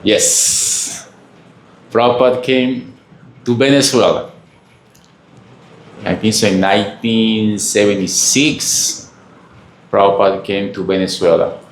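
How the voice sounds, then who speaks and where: A man from Peru with a Peruvian Spanish accent, a native Spanish speaker, giving a halting spiritual lecture full of fillers and questions to the audience. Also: on the low side, fairly even intonation, slight background noise. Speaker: monk giving a talk. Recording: echoey room.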